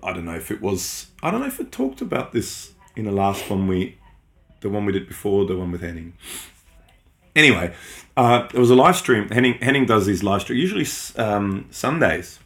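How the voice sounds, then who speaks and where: A middle-aged man from Austria, German his first language, co-hosts a relaxed podcast. His voice sits on the low side, and he wanders through his stories with plenty of ums and self-corrections. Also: normal speed, gravelly. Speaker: podcast co-host. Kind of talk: casual chat.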